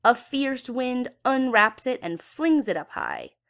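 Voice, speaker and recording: A woman's voice: a little high, animated, slow, fairly bright tone. A woman reading a children's story aloud expressively, with dramatic emphasis for young listeners. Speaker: children's book read-aloud educator.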